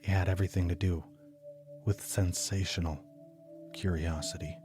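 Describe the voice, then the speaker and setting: An actor playing a brooding, obsessive homicide detective, narrating a noir audio drama in a clinical, deliberate manner. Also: male voice, deep voice, fairly even intonation, music in the background, close to an everyday voice. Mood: haunted, brooding. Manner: clinical, deliberate, measured delivery. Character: brooding, obsessive male homicide detective recounting grim cases.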